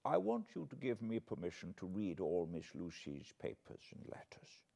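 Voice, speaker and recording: A man playing a grave, kindly old foreign professor in an audiobook, speaking gently and solemnly in halting phrases. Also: sits low, huge pitch swings, creaky, exaggerated voice. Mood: earnest, pleading.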